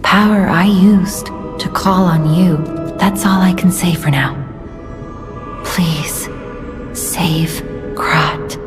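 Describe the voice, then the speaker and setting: Solemn game guide, gentle, earnest, slowly paced, fairly low, over music. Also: female voice. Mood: solemn, pleading. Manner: measured, earnest. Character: solemn, gentle female guide, grave and pleading. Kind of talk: video game character acting. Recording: music behind the voice.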